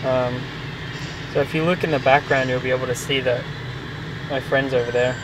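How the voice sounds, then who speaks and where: A young man chats casually about the game he is playing, pausing often with ums and restarting his sentences. His voice sits in a flat, middle register, and steady traffic noise hums behind him outdoors. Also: mid-range voice. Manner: relaxed, many ums and restarts. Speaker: gaming vlogger. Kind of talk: casual gameplay narration. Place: outdoors near a restaurant and park.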